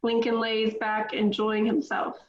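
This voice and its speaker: A woman reads screenplay directions at a table read, her voice a little high. The room is echoey and the sound is dull and lo-fi. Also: monotone, unhurried. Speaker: script reader.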